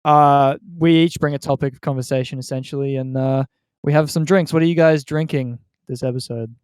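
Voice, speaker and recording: A man's voice: medium pitch, fairly even intonation, a little fast, darkish tone, clear, resonant tone, dry recording, studio quality. A man, hosting a casual podcast in a conversational style. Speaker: podcast host.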